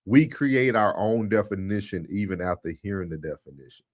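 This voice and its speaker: Low-voiced man in casual discussion, dry sound. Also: animated, normal speed, dry recording. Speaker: co-host of a live stream.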